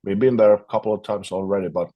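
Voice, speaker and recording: Low-voiced man, relaxed guitarist. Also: fast talker, lo-fi sound. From Finland. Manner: relaxed, repeats words while thinking. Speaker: guitarist in a metal band, interviewee. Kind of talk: casual interview over video call.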